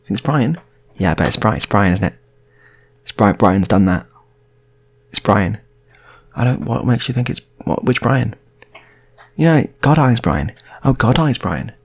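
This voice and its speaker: A man's voice: lowish voice, expressive, measured pace. A man voicing a gossiping office worker in an improvised story, casual, insistent and repetitive. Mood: gossipy. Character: gossiping male office worker at next cubicle, knowing.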